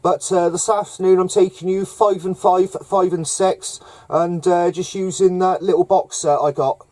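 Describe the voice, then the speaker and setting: A man with a hesitant, halting delivery, making casual CB radio contacts with call signs and jargon. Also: high-pitched, fairly even intonation, darkish tone, slight background noise. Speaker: amateur CB radio operator running contacts. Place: camper van up in the hills.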